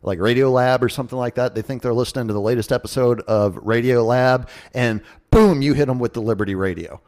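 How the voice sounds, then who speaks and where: A man's voice: mid-range voice, brisk, a little mellow. A man hosting a podcast in a casual, jokey radio-show style with informal asides. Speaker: podcast host.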